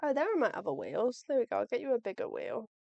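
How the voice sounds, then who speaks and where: Chatty young woman, voice going hoarse. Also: fairly high pitch, wildly swooping pitch, fast talker, dry recording, highs cut off. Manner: chatty, thinks aloud, friendly and apologetic. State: losing her voice. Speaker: artist live-streaming shop orders. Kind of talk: casual livestream chat. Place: home workspace, packing orders.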